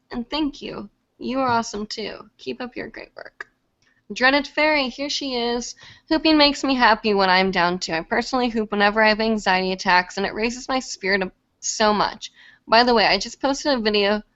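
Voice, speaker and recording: A young woman hosts a casual live stream, reading comments aloud in a chatty way and leaning on lots of fillers. Her voice is a little congested from a lingering cold, and it sits at an ordinary middle pitch. The audio is dull and lo-fi. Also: fairly bright tone. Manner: chatty, many fillers, laughs easily. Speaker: live show host.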